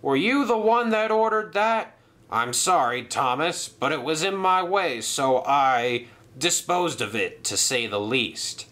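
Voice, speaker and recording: A man's voice: a little high, expressive, a little slow, a bit bright, pitched above the performer's own voice. A man voicing a grumpy male dockyard crane who sounds dismissive and offhand.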